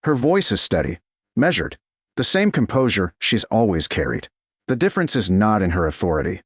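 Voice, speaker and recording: A man narrating a scripted romance story in a measured, dramatic way, in short clipped phrases. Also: fairly low pitch, sing-song, husky, dry recording, clean studio sound. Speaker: audiobook narrator.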